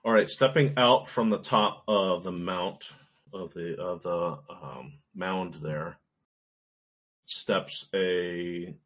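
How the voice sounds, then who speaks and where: A man running a tabletop game as game master, relaxed and pausing often with fillers. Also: deep voice. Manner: easygoing, halting with fillers.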